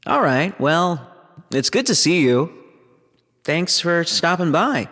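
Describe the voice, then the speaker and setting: A man's voice: a little high, big pitch swings, slow pace, a bit bright, heavily acted. A man playing a curious, sceptical roommate in casual audio drama dialogue. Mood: friendly surprise.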